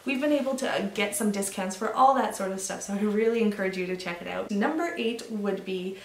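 An enthusiastic woman in her thirties talks straight to the camera, running through a list off the top of her head. Her voice has a middle pitch and sits a little back from the microphone. The small room around her gives off a noticeable echo. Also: fairly quick. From Canada. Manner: enthusiastic, off-the-cuff listing. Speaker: association representative. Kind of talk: upbeat promotional to-camera talk. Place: small indoor room with brick wall. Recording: roomy echo, slightly distant from mic.

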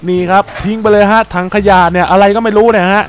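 A young man giving playful, excitable commentary while playing a block-building game, exclaiming often and joking with viewers. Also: sits high, fast talker. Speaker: Minecraft gameplay YouTuber.

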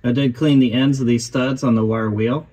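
A man calmly explaining each step of putting an engine back together, voicing over his repair work. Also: medium pitch, moderate pace. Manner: calm, matter-of-fact explaining. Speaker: host of a car restoration channel.

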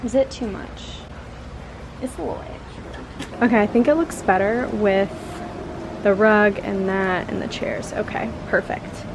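A young woman in her twenties chatting casually as she vlogs about decorating her apartment, thinking out loud with lots of filler words. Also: mid-range voice, steady tone, unhurried, background noise. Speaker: lifestyle vlogger. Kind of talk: casual vlog chat to camera. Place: apartment balcony, car and kitchen.

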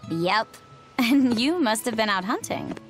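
Chatty teasing village girl in a game, high bouncy intonation, some music behind her. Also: big pitch swings, unhurried. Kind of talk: video game voice acting. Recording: music under the dialogue.

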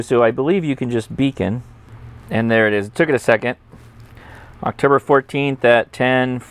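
An American man in his forties hosting a hands-on tech video in a conversational, enthusiastic, instructional way. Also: mid-range voice. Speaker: host of a ham radio video channel. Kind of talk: casual instructional product demo to camera. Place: indoor home studio and workbench.

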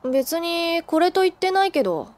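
A woman's voice: extremely high pitch, sing-song, normal speed, a little mellow. A woman playing a confident, easygoing, teasing senior schoolgirl in an anime audio drama, speaking in a playful, casual way.

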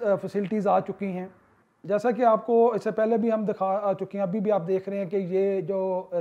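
High-voiced man giving a sales pitch. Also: warm tone, dull audio. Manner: persuasive sales pitch, many "uh" pauses. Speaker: real estate consultant. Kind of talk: promotional voice-over.

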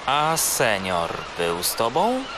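An actor playing a kind, easygoing older brother, gentle and curious, in a children's audio drama. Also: male voice, mid-range voice, huge pitch swings, slow, noisy background. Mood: caring, gentle.

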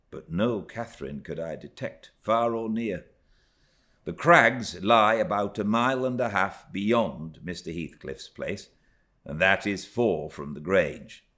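A middle-aged British man reading a classic novel aloud as an expressive narrator. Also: low voice. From Britain. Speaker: audiobook narrator. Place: armchair by a fireplace in a living room.

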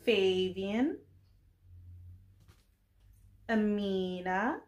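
Young woman, preschool teacher, very slow deliberate delivery, short phrases with pauses, echoey room, distant mic. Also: mid-range voice, animated, darkish tone. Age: young adult. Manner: deliberate, pausing between short phrases, addressing children. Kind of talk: slow lesson for young children, filmed read-aloud greeting. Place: small indoor classroom-style room with bulletin board. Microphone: camera a few steps away. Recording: roomy echo, distant microphone.

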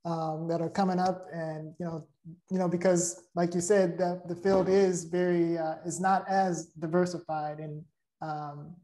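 A woman's voice: lowish voice, level tone, unhurried, mellow. A woman hosting a casual interview, speaking in an appreciative, affirming and conversational way. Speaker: interview host. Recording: roomy, echoey sound.

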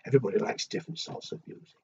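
A man in his sixties reading a children's picture book aloud, expressive and drawn-out, with asides to young listeners. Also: dull audio. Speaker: storyteller. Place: small wooden shed, indoors.

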